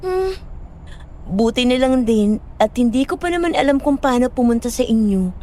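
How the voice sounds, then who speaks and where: A very high-pitched young woman in love, played by a woman in an audio story drama. She is pleading and stubborn, pressing her boyfriend with an insistent, emotional manner. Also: animated, normal speed, mellow, slight background noise, lightly acted. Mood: relaxed, affectionate. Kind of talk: Filipino audio horror-story drama acting.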